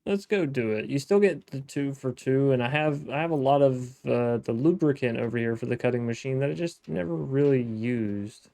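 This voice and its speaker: A man's voice: mid-range voice, normal speed, creaky, hardly any pauses, warm tone, no room sound. A man hosting a gameplay video, talking casually to himself as he plays, thinking aloud with restarts. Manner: chatty, thinking aloud, frequent restarts and self-talk. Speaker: gaming let's-play host.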